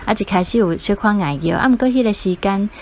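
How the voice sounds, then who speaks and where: A woman's voice: medium pitch, quick pace, a little mellow, slight background noise. A woman with a Taiwanese accent, a parent guest casually telling her own story. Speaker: parent guest sharing experience.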